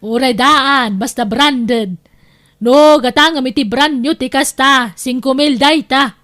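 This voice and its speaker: A woman's voice: high voice, slow, fairly bright tone. A woman with an Ilocano accent playing a bossy, boastful aunt who scolds in an audio drama. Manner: scolding, insistent. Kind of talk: Filipino audio drama acting.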